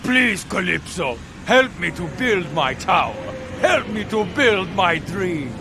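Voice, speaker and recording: High-pitched, creaky megalomaniac. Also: male voice, big pitch swings, takes it slowly, heavy background noise, heavily acted. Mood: pleading. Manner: grandiose, overwrought. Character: small-minded, megalomaniac builder obsessed with his tower.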